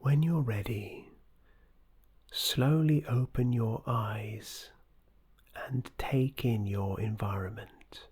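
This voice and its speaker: This is a meditation guide with a mellow, dark, breathy voice. The delivery is slow, gentle and scripted, often sinking toward a whisper. It stays soothing and measured throughout. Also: mid-range voice.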